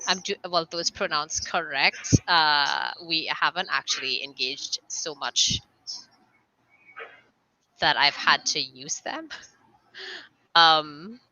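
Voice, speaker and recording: Bright-voiced woman hosting a casual chat. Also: low-pitched, slow, long pauses, dry recording, rough recording. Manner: emphatic, laughs often, repeats words. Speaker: host.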